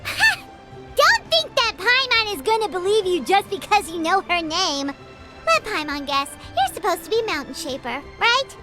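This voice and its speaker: A woman's voice: extremely high pitch, moderate pace. A woman voicing a small, childlike, cheeky and talkative fairy companion in a video game. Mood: skeptical, teasing. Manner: refers to self in third person, excitable, teasing. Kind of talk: English video game dub acting. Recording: voice over loud background music.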